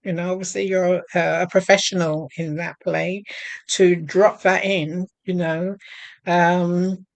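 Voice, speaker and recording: A woman's voice: on the low side, little pitch movement, unhurried, vocal fry, no room sound. A woman hosting an interview, with a Barbadian accent, asking long rambling questions full of ums. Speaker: host and novelist. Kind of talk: casual interview chat.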